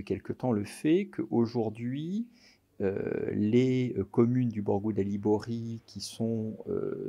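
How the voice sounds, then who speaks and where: A man gives a careful, halting formal interview statement as a delegate. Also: medium pitch, warm tone. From France. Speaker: French delegate.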